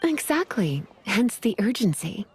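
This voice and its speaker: A woman's voice: medium pitch, big pitch swings, a little slow, darkish tone, soft background music. A woman voicing a sultry, languid librarian with a purring, teasing manner. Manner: purring, teasing, calls people darling.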